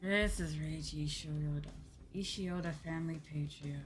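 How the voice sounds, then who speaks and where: A woman's voice: sits low, fairly even intonation, moderate pace. A woman doing casual live game commentary, musing and reacting aloud as she plays. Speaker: game streamer, VTuber. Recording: loud game music behind voice. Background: video game music and dialogue.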